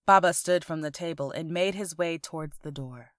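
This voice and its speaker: A woman narrates a scripted story in audiobook style. Her pitch sits a little low for a woman. She is the storyteller, reading prose for a podcast. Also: moderate pace, no room sound, clean studio sound.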